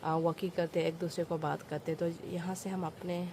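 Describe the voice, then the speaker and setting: A woman's voice: lowish voice, little pitch movement, normal speed. Young Northeast Indian woman vlogging over noise. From Arunachal Pradesh, India. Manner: simple, explanatory, addressing viewers. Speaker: village vlogger. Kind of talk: casual chatty vlog narration.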